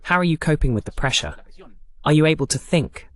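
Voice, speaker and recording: A man's voice: a little high, animated, brisk, fairly bright tone. A man voicing a political talk show host in translation, presenting the discussion.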